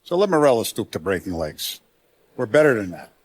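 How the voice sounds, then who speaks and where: A man voicing a middle-aged mob boss in a video game, paternal and proud, speaking deliberately and with authority in streetwise gangster talk. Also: on the low side, sing-song, slightly rough. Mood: businesslike, confiding. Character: commanding, paternal, proud mob boss, principled yet ruthless. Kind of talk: dramatic video game voice acting.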